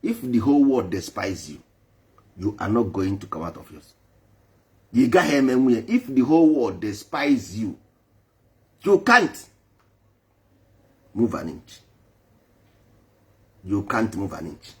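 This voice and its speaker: A man's voice: on the low side, big pitch swings, measured pace, long pauses. Emphatic preaching man. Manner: emphatic, repetitive, switching between English and Igbo. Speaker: traditional spiritual speaker. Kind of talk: preaching to a live online audience.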